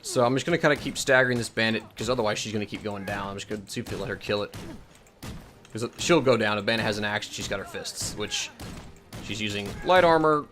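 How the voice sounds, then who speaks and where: A man's voice: on the low side, fairly bright tone. Casual man demonstrating a game mod. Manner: explains step by step, thinking aloud. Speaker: mod showcase presenter. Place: indoors at home, voice-over on gameplay.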